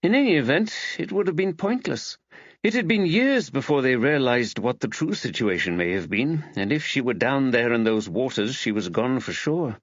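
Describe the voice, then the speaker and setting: A man voicing a thoughtful, easily dismayed woman writer in earnest, expressive audiobook dialogue. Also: mid-range voice, normal speed, dry recording, lo-fi sound, natural voice. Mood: earnest, pensive.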